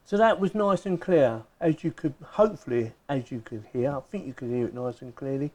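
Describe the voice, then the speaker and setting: A man's voice: mid-range voice, fairly quick, a little mellow. A middle-aged man with an English accent, giving a casual, chatty hands-on product review in plain, informal words.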